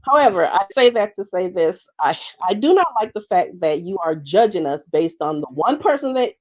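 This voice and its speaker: A woman's voice: on the low side, very animated, darkish tone, highs cut off. A woman, born in Jamaica and raised in the United States, speaking as she makes a long, earnest point in a live online panel discussion. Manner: earnest, measured, speaks at length. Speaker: panel participant.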